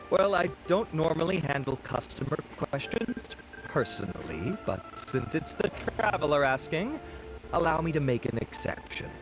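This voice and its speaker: Suave, vain scholar-businessman for an anime game, slightly high voice, animated intonation, formal courtesy, music behind. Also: measured pace, rough recording. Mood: courteous, proud. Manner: polite, formal, suave, self-assured. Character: courteous, vain male beauty researcher and businessman.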